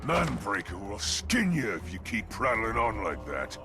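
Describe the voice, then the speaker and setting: An actor playing a menacing orc warrior in a video game, gruff, gravelly and threatening. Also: male voice, fairly low pitch, wildly swooping pitch, unhurried, over music, full character voice. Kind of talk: video game cutscene acting.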